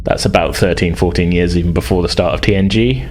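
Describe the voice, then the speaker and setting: Low-voiced man narrating a tabletop game. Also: fast, darkish tone. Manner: conversational, descriptive. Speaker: game master.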